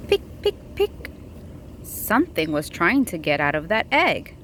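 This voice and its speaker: A woman reading a picture book aloud to a child in an expressive, engaging way, with questions for the listener. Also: on the low side, measured pace, slight background noise.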